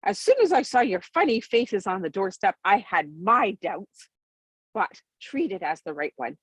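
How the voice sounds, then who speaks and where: A woman's voice: medium pitch, very animated, normal speed, husky, no echo. A woman voicing a small male hobbit in a storybook, prim, formal and easily ruffled. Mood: indignant, dignified. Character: small, offended male hobbit standing on his dignity.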